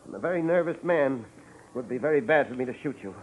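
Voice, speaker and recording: A man's voice: medium pitch, expressive, fast talker, natural voice. A man acting a bitter, jumpy racketeer boss with a curt, threatening manner in a 1950s radio crime drama. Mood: menacing. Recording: tinny, noisy old radio recording.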